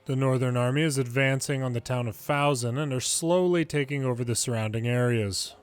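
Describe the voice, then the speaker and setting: A man's voice: mid-range voice, brisk, clean tone. Man reading game dialogue over music. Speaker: video game commentator reading subtitles aloud. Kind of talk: dramatic read-aloud of game dialogue.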